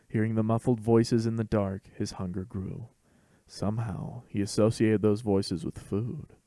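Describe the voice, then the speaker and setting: A man's voice: on the low side, warm tone, no room sound. A man reading a scripted fan fiction story as host and narrator.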